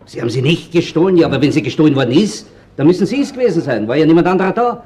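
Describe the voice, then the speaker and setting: An actor playing a sarcastic, pushy police inspector with an Austrian accent, needling and confrontational, in a radio crime play. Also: male voice, a little high, animated, racing, darkish tone, slightly rough. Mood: accusing.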